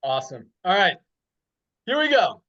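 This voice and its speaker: A man's voice: sits high, big pitch swings, normal speed, sharp tone. A man hosting a company call, enthusiastic and full of praise, talking conversationally. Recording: dull lo-fi call audio.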